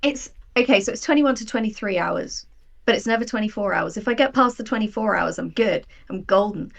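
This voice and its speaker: A woman chatting as an interview guest about her writing, telling stories in an animated, self-deprecating, wry way. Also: mid-range voice, brisk, gravelly. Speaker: guest, writer of audio drama. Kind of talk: casual interview chat, personal anecdotes.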